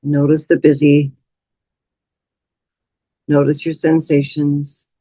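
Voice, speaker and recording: A woman leading a guided meditation as a teacher, speaking in a soothing, unhurried way with long pauses. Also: deep voice, monotone, warm tone, no echo.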